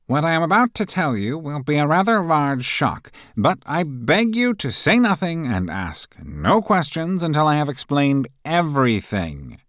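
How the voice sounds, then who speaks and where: A man voicing an excitable, flustered male professor who shouts his lines. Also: fairly high pitch, unhurried, hardly any pauses, no room sound, clean studio sound, voice pushed up.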